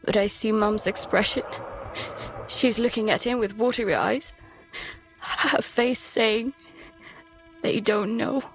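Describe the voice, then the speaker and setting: A woman playing a frightened, guilt-ridden daughter in an audio drama, narrating and speaking in an anxious, halting, hushed way. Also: fairly high pitch, rough recording. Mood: tense, shaken. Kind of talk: audio drama, first-person present-tense narration and dialogue. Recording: music behind the voice.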